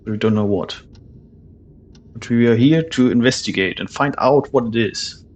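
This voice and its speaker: A man's voice: mid-range voice, moderate pace, a little mellow. A man hosting a let's play, giving casual commentary on the game as he plays.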